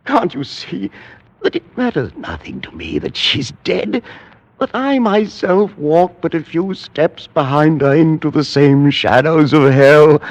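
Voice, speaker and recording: A tormented gothic aristocrat on the edge of madness, in old radio drama style. He draws his words out slowly and broods theatrically, with a feverish edge. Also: on the high side, heavily acted. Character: tormented, half-mad last heir of an accursed house. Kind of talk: classic radio drama acting.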